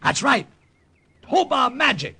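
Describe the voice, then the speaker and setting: An actor with an American accent playing a wisecracking soldier hero who narrates and acts in a vintage radio drama. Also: male voice, very high voice, very animated, slow pace, gravelly, pushed and bright, breathy edge, dry recording, exaggerated voice. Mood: cajoling. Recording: old radio recording with music behind.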